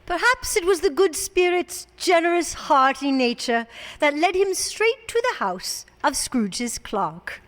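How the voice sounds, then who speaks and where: A woman's voice: sits high, big pitch swings, measured pace, hardly any pauses, a bit bright. A woman narrating a radio drama with dramatic, scripted storytelling. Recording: long hall reverb.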